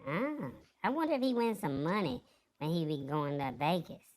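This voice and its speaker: A woman's voice: low-pitched, very animated, moderate pace, darkish tone. A woman hosting a live show in a casual, chatty style. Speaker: show host.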